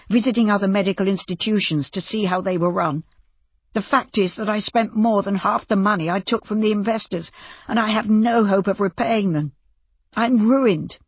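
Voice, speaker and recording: A narrator voicing a proud, embittered and desperate gentleman doctor in audiobook dialogue. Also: mid-range voice, breathy edge, dry recording, lo-fi sound. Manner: cross, self-important, despairing.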